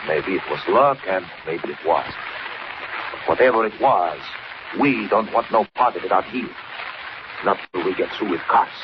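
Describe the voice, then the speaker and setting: An actor playing a cold, commanding Western gang boss with terse orders in a 1940s radio serial. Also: male voice, sits low, expressive, moderate pace. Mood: wary, menacing. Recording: muffled, noisy old 1940s radio recording.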